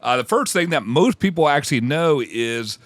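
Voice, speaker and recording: A man's voice: fairly high pitch, animated, moderate pace, dry recording. A man with a Kentucky accent hosting a casual travel podcast, rambling a little and reading facts off his computer. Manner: rambling, restarts, reads facts aloud. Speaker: podcast host. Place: home theater room.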